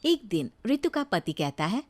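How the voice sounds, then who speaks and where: A woman's voice: medium pitch, big pitch swings, normal speed, a little mellow. A woman narrating a scripted cartoon story as the storyteller.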